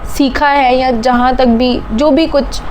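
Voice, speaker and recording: High-voiced, slow woman in mourning. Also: fairly even intonation, a little hiss or hum. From Pakistan. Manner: halting, searching for words, mixing in English phrases. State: grieving, sorrowful. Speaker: singer paying tribute. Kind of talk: heartfelt spoken tribute to camera.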